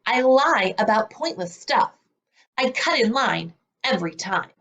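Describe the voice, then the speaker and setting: A woman's voice: fairly high pitch, animated, a bit bright. Woman as storybook bad seed: brazen, confessional, theatrical, with swooping intonation. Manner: dramatic, confessional storybook delivery with drawn-out words. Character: self-declared bad little seed, male, brazen storybook narrator.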